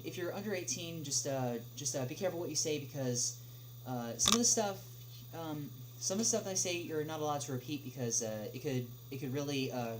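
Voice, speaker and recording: A woman hosts an informal livestream table read. She speaks haltingly, stumbling over words as she moves between reading and chat. The recording has noise and a hum. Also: deep voice. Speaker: livestream host. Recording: noisy home livestream with hum.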